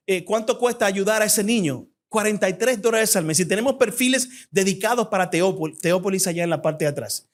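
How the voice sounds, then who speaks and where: Young Dominican man, high-pitched, fervent fast-talking guest preacher making an appeal in church. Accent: Dominican Spanish. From Dominican Republic.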